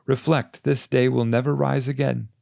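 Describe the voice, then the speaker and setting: A man's voice: medium pitch, little pitch movement, moderate pace, gravelly, a little mellow, dry recording, studio quality, pitched above the performer's own voice. A man voicing a wise poet guide who admonishes his pupil in formal, instructive words, in an audiobook reading. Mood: urgent admonishing. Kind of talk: literary audiobook character reading.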